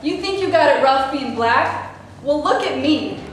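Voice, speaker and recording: Lady reading a brassy snide actress gal, high voice, super echoey stage. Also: animated, unhurried. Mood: indignant. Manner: brassy, sarcastic, condescending. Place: theater stage before a live audience. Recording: very echoey stage, faint room noise.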